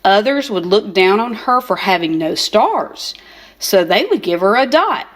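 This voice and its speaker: An older woman reading a children's storybook aloud in an expressive, unhurried storytelling manner. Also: mid-range voice. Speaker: storybook reader.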